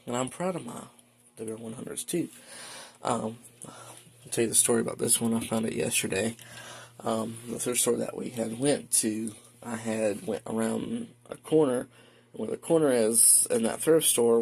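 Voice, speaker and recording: A man's voice: medium pitch, creaky, darkish tone, slightly rough. A man giving a casual, rambling, matter-of-fact show-and-tell as a hobbyist collector at home. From United States. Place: indoors at home.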